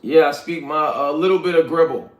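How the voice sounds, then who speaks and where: A man's voice: fairly high pitch, a little fast. Laid-back slangy man in an echoey room. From Liberia. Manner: laid-back, addresses viewers as y'all, slangy. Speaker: livestream host reading chat. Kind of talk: casual livestream chat. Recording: roomy echoey sound.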